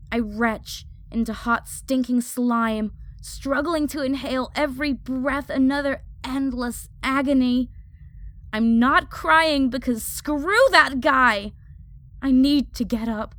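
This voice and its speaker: Young hot-headed battle mage, acted by a woman: high voice, slow dramatic first-person narration, intense and desperate, clipped phrases. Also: a bit bright, no echo. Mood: pained, struggling.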